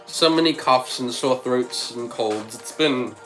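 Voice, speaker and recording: Man, livestream host, casual chat, thinking aloud, mid pitch, slight cough, faint background music. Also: a little slow, a bit bright. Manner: thinks aloud, chatty, reads out chat messages. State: lingering cough, slight frog in throat.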